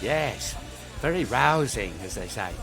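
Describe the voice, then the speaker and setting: A man's voice: mid-range voice, expressive, a little slow, gravelly. A man playing a genial, teasing townsman in an audio drama. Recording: loud music behind voice.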